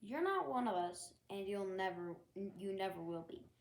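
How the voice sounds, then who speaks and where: A woman's voice: mid-range voice, lively intonation, moderate pace, roomy sound, close to an everyday voice. A woman voicing a mean, dismissive lily in a storybook, sneering as leader of a flower clique.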